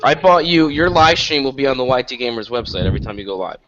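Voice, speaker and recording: A man's voice: mid-range voice, fast, muffled recording, a crowd in the background. A man co-hosting a casual gaming podcast, joking around while eating his dinner. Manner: joking, lively banter. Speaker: podcast co-host. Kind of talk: casual chat.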